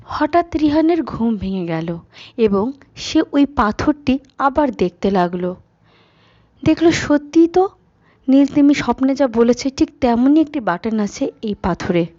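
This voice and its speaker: A woman's voice: sits high, animated, a little slow, dark tone, dry recording. A woman narrator reading a scripted story for a children's cartoon. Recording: slightly muffled, dry, music behind.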